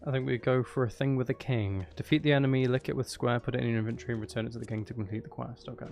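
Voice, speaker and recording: A man's voice: medium pitch, fairly even intonation, racing, creaky, a little mellow, soft background music. A man streaming a video game, thinking aloud in a casual, playful running commentary. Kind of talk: casual live gameplay commentary.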